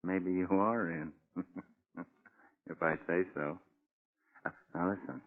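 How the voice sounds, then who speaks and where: A man's voice: sits low, steady tone, slow pace. An actor playing a boastful, menacing convicted killer with cocky tough-guy slang in 1940s radio crime drama style. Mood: sly, bragging. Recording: muffled tinny old radio recording.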